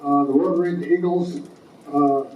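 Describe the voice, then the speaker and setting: A man giving informal announcements at a meeting, halting and full of fillers and restarts. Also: on the high side, fairly even intonation, soft background music. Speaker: society president. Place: meeting hall. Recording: echoey, distant, muffled room recording.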